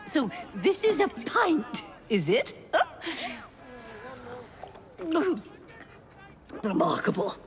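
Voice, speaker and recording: An actress playing a genteel, imperious Victorian politician's wife with an upper-class English accent, in a prim, brisk manner. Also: female voice, fairly high pitch, wildly swooping pitch, very noisy. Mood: dainty, curious. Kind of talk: video game cutscene acting.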